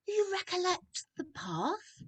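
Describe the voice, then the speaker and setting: A woman's voice: very high-pitched, big pitch swings, a little slow, full character voice. A woman playing a solemn, commanding ageless spirit in a radio play, with a stately, declamatory manner. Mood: probing. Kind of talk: radio play rehearsed reading over video call. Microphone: video call.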